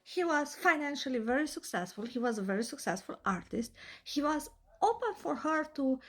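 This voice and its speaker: A young woman with an Eastern European accent delivers a blunt, opinionated monologue. Her voice sits high, and she leans hard on emphasis and rhetorical questions. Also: big pitch swings, normal speed, a bit bright. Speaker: vlogger.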